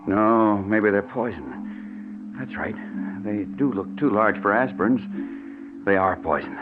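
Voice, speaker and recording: An actor playing a terrified, paranoid rich man in an old-time radio thriller, stammering and jittery. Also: low voice. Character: terrified, paranoid wealthy man hounded by a killer. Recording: tinny narrow-band vintage radio, music behind.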